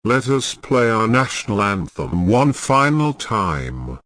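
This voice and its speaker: A man's voice: on the low side, very animated, slow pace, fairly bright tone, no echo, clean studio sound. A man reading a scripted emergency broadcast announcement in a formal, solemn manner.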